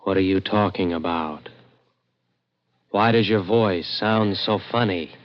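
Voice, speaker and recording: An actor playing a dazed, disbelieving man in an old radio suspense play, speaking slowly and haltingly. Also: deep voice, muffled recording. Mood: bewildered. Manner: slow, halting, stunned. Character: dazed, disbelieving man kept alive as a severed head. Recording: echoing, band-limited old radio recording.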